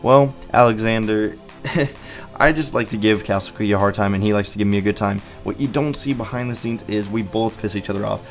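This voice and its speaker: A man's voice: on the low side, a little fast, soft background music. Chatty teenage man on a headset mic. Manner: chatty, rambling answers with fillers. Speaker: gaming channel host. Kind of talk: casual Q and A video. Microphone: gaming headset mic.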